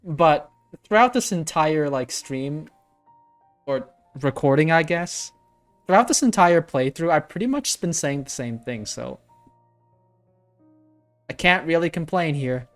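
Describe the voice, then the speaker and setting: A man's voice: a little high, lively intonation, unhurried, long pauses, fairly bright tone, soft background music. A young man giving casual, slangy playthrough reaction commentary, talking straight to the characters. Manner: informal, slangy, addresses the characters directly. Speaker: gaming reactor.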